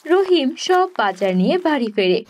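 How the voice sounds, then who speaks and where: A woman's voice: sits high, very animated, normal speed. A woman reading scripted narration for a children's cartoon fairy tale.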